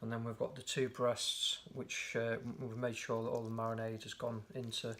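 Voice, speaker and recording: Home cooking host: man, croaky and gravelly, flat easy delivery, noisy kitchen recording. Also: medium pitch, normal speed. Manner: relaxed, chatty, often corrects himself mid-sentence. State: hoarse, croaky voice. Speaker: home cook presenting a recipe. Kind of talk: casual step-by-step cooking demonstration.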